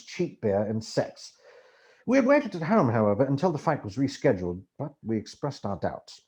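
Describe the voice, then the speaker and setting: A man reading a book aloud as expressive, theatrical first-person narration. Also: mid-range voice. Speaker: amateur audiobook reader.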